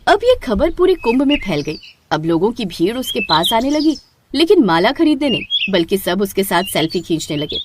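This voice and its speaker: A woman narrating a scripted moral story as an expressive storyteller. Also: medium pitch, moderate pace, noisy background.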